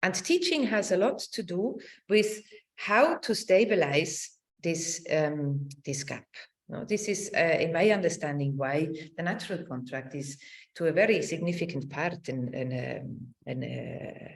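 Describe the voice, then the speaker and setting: A woman's voice: sits low, lively intonation, unhurried. A middle-aged woman giving an improvised academic lecture, searching for words and often repeating phrases.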